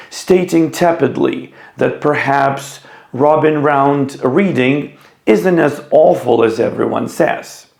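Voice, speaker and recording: A man narrates a scripted lesson like a teacher presenting to viewers. His delivery is slow and deliberate, with a creaky, fry-edged voice. The sound is noticeably roomy and echoey. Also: mid-range voice, darkish tone. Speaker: teacher presenting an educational video.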